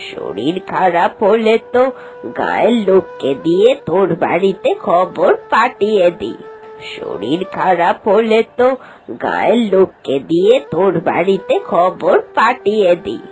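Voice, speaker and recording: A slow, kindly old village aunt. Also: female voice, on the low side, big pitch swings, darkish tone, soft background music. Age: older. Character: elderly village aunt, kind and wise. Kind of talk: animated cartoon voice acting.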